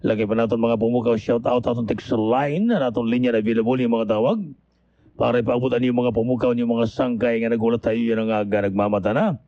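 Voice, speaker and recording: A man's voice: mid-range voice, steady tone, fairly quick, no echo. A man with an Eastern Visayan Waray accent hosting early morning radio, chatting casually to listeners with questioning tags. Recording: dull AM radio broadcast.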